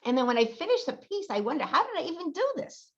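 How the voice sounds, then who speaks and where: A woman's voice: high-pitched, sing-song, quick pace. An older woman chatting earnestly and casually in a discussion, often adding "you know". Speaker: workshop participant, amateur writer and artist. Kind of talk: casual post-show discussion. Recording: dull lo-fi call audio.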